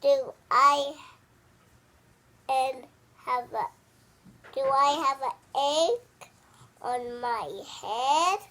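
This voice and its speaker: A child voicing a worried, easily upset male elephant from a picture book, with halting, incredulous delivery. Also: high voice, sharp tone, heavily acted. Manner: exclamatory, halting, incredulous questioning.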